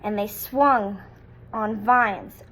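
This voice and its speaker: A woman in her thirties reading a children's picture book aloud in a deliberate, expressive storytelling manner. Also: medium pitch, background noise.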